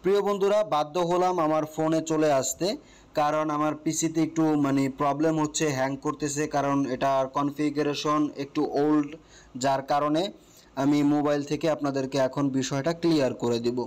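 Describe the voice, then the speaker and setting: Casting: Bangladeshi male tutorial host, slightly high voice, casual and explanatory, guiding step by step and checking in often. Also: level tone, brisk, clean tone, poor audio.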